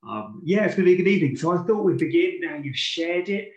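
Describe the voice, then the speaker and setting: A man's voice: fairly high pitch, lively intonation, quick pace, reverberant, dull audio. A man from Norfolk co-hosting a casual live podcast, chatty and self-deprecating, with a stuffy nose from a cold. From Norfolk, England. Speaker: podcast co-host.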